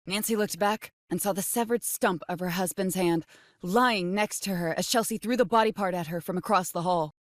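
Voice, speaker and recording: A woman's voice: on the low side, normal speed, no echo, studio quality. A woman narrating a dramatic scripted horror audiobook.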